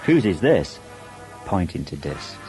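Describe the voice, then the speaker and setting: A man playing a smooth, sceptical sci-fi crewman with a dry, dismissive delivery. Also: low voice, big pitch swings, unhurried, a little mellow, soft background music.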